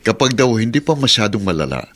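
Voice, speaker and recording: An actor playing a firm, practical husband in a radio drama, matter-of-fact, with a Filipino accent. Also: male voice, on the low side, sing-song, fast talker, fairly bright tone, a little hiss or hum. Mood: informative. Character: plain-spoken husband, returned overseas worker, firm and practical.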